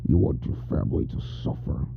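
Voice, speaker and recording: A man voicing a sealed evil spirit in a slow, menacing, breathy, gravelly growl for a story reading. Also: narrow, tinny audio, a little hiss or hum, lightly acted. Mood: knowing, sinister.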